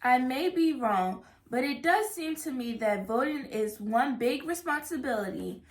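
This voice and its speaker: A woman reading a rhyming children's picture book aloud in a measured, careful way. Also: sits high, animated. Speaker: read-aloud reader. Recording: echoey room.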